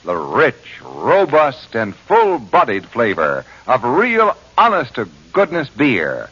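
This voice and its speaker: Husky American radio pitchman, animated. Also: slow. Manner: polished, emphatic salesmanship. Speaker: radio announcer. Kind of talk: 1940s radio advertising announcer. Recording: muffled 1940s radio recording.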